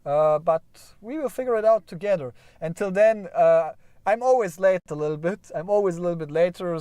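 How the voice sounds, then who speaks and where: A man's voice: a little high, mellow, smooth, dry recording. A man with a German accent hosting a casual livestream, rambling and correcting himself as he chats with viewers. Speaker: livestream host.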